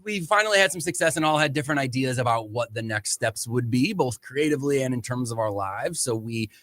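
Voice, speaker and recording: A man guesting on a radio sports talk show, talking over his band days in a loose, reflective ramble full of restarts. His voice sits at a middle pitch. The studio sound is dry and close. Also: animated, a little fast, a bit bright, no echo. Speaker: musician guest. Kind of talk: casual radio chat.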